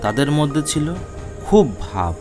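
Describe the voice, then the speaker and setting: Guy narrating a kids fable, medium pitch, measured short phrases, soft music behind. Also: sing-song. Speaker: storyteller, narrator. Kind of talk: scripted children's fable narration.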